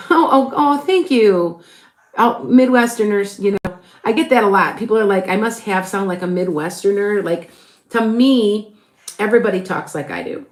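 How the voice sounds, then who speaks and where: A woman's voice: medium pitch, normal speed, roomy sound. A woman with a Chicago accent, a tarot reader chatting loosely on a live stream and reading out viewers' questions as she rambles along. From Chicago area, with Wisconsin roots.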